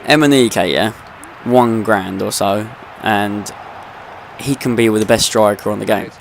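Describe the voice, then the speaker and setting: A man's voice: fairly low pitch, unhurried, gravelly, a little hiss or hum. A young man with a slight cold, ranting in casual gameplay commentary and swearing freely. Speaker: gaming video host.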